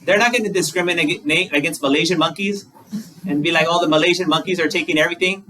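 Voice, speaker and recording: Monk guy giving a dharma talk, bit higher voice, sounds far off the mic, faint hum behind. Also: fairly even intonation, quick pace, pushed and bright. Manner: conversational, plain examples, asks rhetorical questions. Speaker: Buddhist monk. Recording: distant mic with faint room noise.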